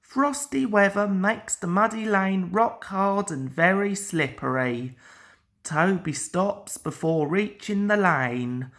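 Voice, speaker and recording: A woman's voice: medium pitch, takes it slowly, fairly bright tone. A woman reading a children's storybook aloud as scripted narration. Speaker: storybook reader.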